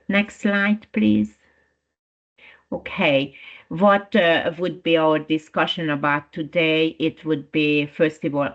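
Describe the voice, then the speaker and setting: A woman with a Hungarian accent, a principal lawyer presenting a webinar in an unhurried way, with frequent fillers and restarts. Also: low-pitched, highs cut off.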